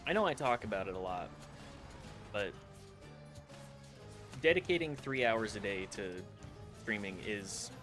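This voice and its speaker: Male streamer, casual and reflective, animated pitch, faint game music. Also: a little slow, pauses often. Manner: reflective, talks to chat while playing. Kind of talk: casual gameplay stream chat. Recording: game music playing behind.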